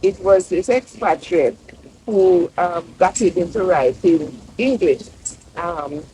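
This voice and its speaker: An older woman with a Jamaican accent, a professor talking as a guest in a relaxed outdoor interview, telling stories lively with local phrases. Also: fairly low pitch, slow, a little hiss or hum, rough recording. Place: outdoor courtyard under a large tree.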